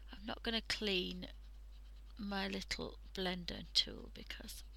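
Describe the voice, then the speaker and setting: Lady with a cold, croaky but bright sounding, chatting and half whispering while she draws. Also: medium pitch, fairly even intonation, very slow, slight background noise, poor audio. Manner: chatty, thinking aloud, drifting into half-whispers. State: hoarse from a cold. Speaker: art livestream host. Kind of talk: casual drawing tutorial commentary. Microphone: headset.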